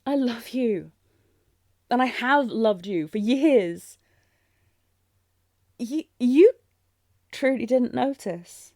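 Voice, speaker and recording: A woman's voice: a little high, lively intonation, very slow, pauses often, dark tone, no room sound, studio quality, heavily acted. A woman playing a refined, earnest young fantasy princess in a romantic audio roleplay, speaking with theatrical exclamations and genteel, old-fashioned phrasing. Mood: exasperated love confession.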